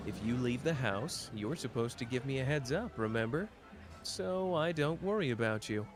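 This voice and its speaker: A man's voice: medium pitch, lively intonation, normal speed, faint music behind, lightly acted. An actor playing a smug, teasing young man who mocks his rival with a sing-song manner in an audio roleplay. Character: smug teasing young male mafia-hunter, playful and manipulative. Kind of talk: ASMR roleplay acting.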